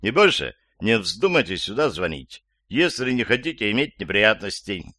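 A man's voice: fairly low pitch, big pitch swings, moderate pace, pushed and bright, dry recording, studio quality, heavily acted. A man reading the parts of impatient, dismissive police officials in an audiobook, curt and irritated. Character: irritated, dismissive male police dispatcher and investigator.

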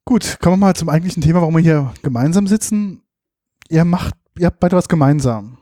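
Mellow man hosting a casual podcast. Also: fairly high pitch, animated, brisk, dry recording, studio quality. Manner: short, playful questions. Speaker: podcast host. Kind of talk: casual interview. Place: studio.

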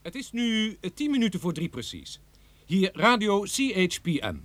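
A man's voice: high-pitched, very animated, normal speed, a bit bright, dry recording. A man playing a chirpy radio disc jockey in a radio play, reading bulletins with breezy announcer patter. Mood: breezy, upbeat.

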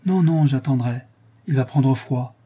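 A man's voice: medium pitch, measured pace, warm tone, slightly rough. A man voicing a feverish, complaining wife in a storybook, with a plaintive, impatient manner.

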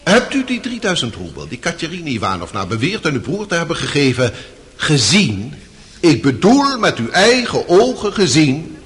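An actor playing a formal, sceptical courtroom examiner in a radio drama, pressing with pointed questions. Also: male voice, fairly high pitch, big pitch swings, normal speed, fairly bright tone. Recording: long hall reverb on the voice.

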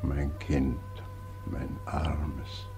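A helpless, grieving old God, with an extremely deep voice and slow, plaintive speech. He sounds tender and lamenting, in old 1940s radio drama style, with music clearly behind him. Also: flat delivery, warm tone, heavily acted. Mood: tender sorrow. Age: older.

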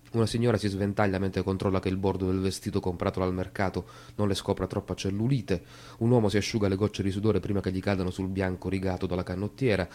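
A male actor as a wry, reflective small-town storyteller with a lowish voice. He delivers his stage monologue in deliberate, pointed phrases. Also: dark tone, lightly acted. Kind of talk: theatrical monologue narration.

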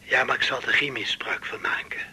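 An actor playing a courteous, hesitant struck-off doctor on the phone in a radio drama, speaking in a halting, formal, husky way. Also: male voice, medium pitch, fairly even intonation, sharp tone, whispered. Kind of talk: Dutch radio drama acting.

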